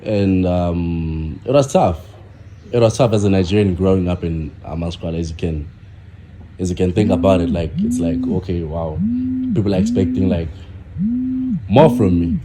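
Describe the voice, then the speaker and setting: A young man from South Africa, a guest on a podcast, talking casually with halting starts and repeated words. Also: low voice, animated, noisy background. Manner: halting, repeats himself, many fillers. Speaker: guest, content creator.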